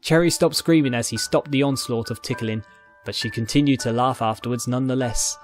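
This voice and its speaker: A man's voice: medium pitch, steady tone, a little fast, faint music behind. A man reading fiction aloud as narrator, in scripted dramatic storytelling style. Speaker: narrator, fan fiction reader.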